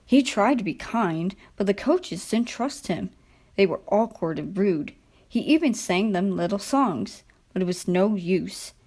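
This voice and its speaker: A woman narrating a children's story from a script in an expressive, deliberate storytelling manner. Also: medium pitch, slightly rough.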